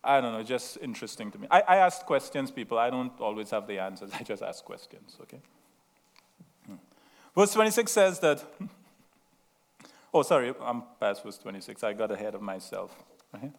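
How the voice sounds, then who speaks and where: A man's voice: medium pitch, sing-song, normal speed, pauses often. A middle-aged man with a Trinidadian accent, preaching a sermon in a chatty, self-mocking way and often checking in with the room. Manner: chatty, laughing at himself, asks the room "right?". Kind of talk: sermon with asides and stories.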